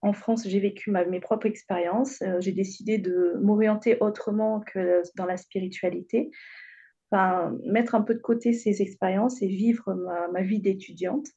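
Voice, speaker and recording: A woman in her thirties from Réunion recounts her story as a radio guest, pausing often with fillers. Her voice is mellow and slightly breathy. The sound comes through a muffled, lo-fi line. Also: medium pitch, steady tone. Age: 30s. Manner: hesitant, frequent fillers, gentle storytelling. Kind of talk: interview, personal story.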